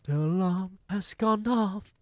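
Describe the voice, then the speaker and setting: A man voicing a scheming old female witch leader in an audiobook, speaking slowly with a gloating, sometimes hissing delivery. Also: sits high, no room sound, pitched above the performer's own voice. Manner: slow, gloating, hissing at times. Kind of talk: audiobook reading.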